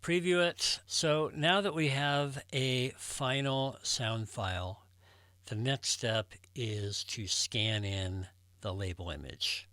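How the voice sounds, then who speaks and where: A man giving a casual step-by-step tutorial, explaining things in a measured way with pauses. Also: medium pitch. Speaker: host of a record collecting tutorial channel.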